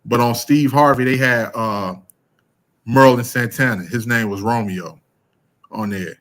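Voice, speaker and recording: A man's voice: mid-range voice, a little slow, fairly bright tone. A man, talking casually as a podcast host, rambling with fillers and restarts. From American Midwest. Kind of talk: casual conversation.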